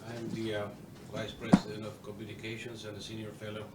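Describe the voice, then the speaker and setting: A man, a think tank fellow, introducing himself in a measured way with a few fillers. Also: lowish voice, monotone. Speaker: institute vice president and senior fellow. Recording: distant, echoey, noisy room mic.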